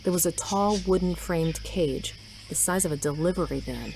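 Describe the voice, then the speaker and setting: A woman's voice: on the low side, normal speed, noisy background. A woman reading scripted story narration for an audio drama.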